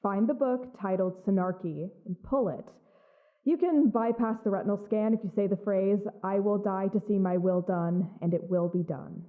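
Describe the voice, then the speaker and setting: An actress playing a cool, blunt covert operative who gives terse, authoritative instructions in an audio drama. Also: female voice, medium pitch, normal speed, narrow, tinny audio, lightly acted. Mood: cool, matter-of-fact.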